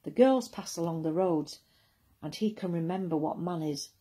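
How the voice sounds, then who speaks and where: Woman reciting poetry, soft mellow tone. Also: fairly low pitch, normal speed, husky. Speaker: poetry reader.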